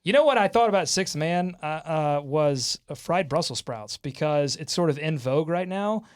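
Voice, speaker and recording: A man hosting a casual sports talk show, bantering with fans and co-hosts in an excitable, animated way. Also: fairly high pitch, normal speed, clean tone. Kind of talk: casual sports fan banter with comments and highlights.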